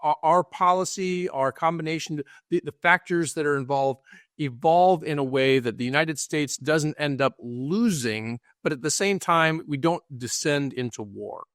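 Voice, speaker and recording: A man's voice: fairly high pitch, moderate pace, a bit bright, dry recording, clean studio sound. A man co-hosting a podcast, asking long, thoughtful questions with a rambling setup. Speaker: podcast co-host. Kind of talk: conversational interviewing.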